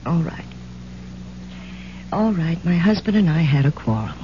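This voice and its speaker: An actress playing a gentle married piano teacher in a 1970s radio drama, hesitant and placating but primly firm. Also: female voice, fairly low pitch, sing-song, a little slow, a little hiss or hum. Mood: confiding, uneasy. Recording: muffled, humming old radio broadcast.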